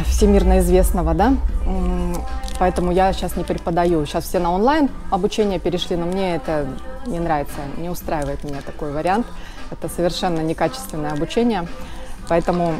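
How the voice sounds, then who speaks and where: Russian woman chatting, music behind. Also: lowish voice. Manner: rambling, many filler sounds, self-corrections. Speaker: guest, video blogger. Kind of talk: casual interview. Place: small indoor room with sofas. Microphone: camera-mounted shotgun mic beside her.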